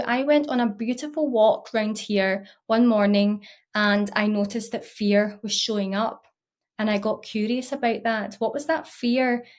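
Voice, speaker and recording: A woman in her thirties with a Scottish accent, talking to camera as a mindset coach in an earnest, encouraging way. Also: on the high side. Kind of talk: casual talk to camera.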